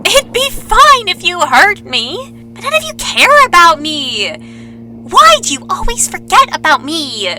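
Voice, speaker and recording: A woman's voice: extremely high pitch, sing-song, a little slow, heavy background noise, exaggerated voice. A woman playing a young adult who is bitter and accusing, feeling left out, in an audio drama. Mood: hurt, angry. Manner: accusing, emotional, self-pitying. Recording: music behind the voice.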